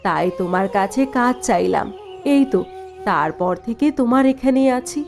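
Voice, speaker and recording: A woman's voice: mid-range voice, expressive, moderate pace, creaky, a little mellow, faint music behind. A woman playing a sorrowful but proud young wife in a cartoon drama, plaintive and earnest. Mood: sad recounting. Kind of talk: dubbed cartoon dialogue.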